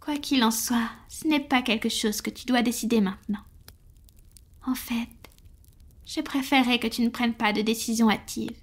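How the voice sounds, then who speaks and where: A woman playing a tender, devoted female vampire in an intimate roleplay audio, speaking softly and affectionately, often in a breathy whisper. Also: on the high side, fairly even intonation, natural voice. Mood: devoted, gentle. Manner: slow, gentle, affectionate, with pauses. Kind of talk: French roleplay audio, soft intimate ASMR acting.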